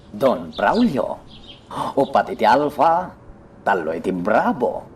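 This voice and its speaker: An actor with an Ilocano accent playing a hearty, flattering general in a radio drama, drawing out words for emphasis. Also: male voice, mid-range voice, slight background noise. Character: hearty, flattering army general, fond of wagers.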